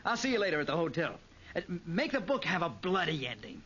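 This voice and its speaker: Radio drama lead: reckless tipsy gambler, bright highish voice, swinging lively pitch, dull old recording. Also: male voice, fast, breathy edge, exaggerated voice. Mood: cheerfully tipsy. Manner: flippant, tipsy, theatrical. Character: reckless, tipsy American gambler, cocky and carefree. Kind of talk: 1940s radio acting. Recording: muffled, noisy 1940s radio recording.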